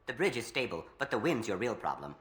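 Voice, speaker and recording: Anxious civilian with lively pitch. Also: male voice, fast talker. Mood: wary, informative. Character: anxious civilian, protective of a younger brother. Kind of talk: video game voice acting.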